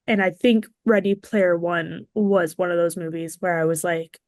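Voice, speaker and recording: A woman's voice: on the low side, fairly even intonation, normal speed, a little mellow, no room sound, studio quality. A woman talking casually as a panelist on a podcast. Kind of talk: casual chat.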